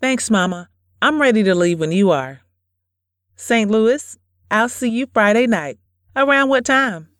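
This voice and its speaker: A woman playing a quiet, laid-back young daughter in an audio drama. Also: a little high, animated, unhurried, dry recording, studio quality. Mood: agreeable.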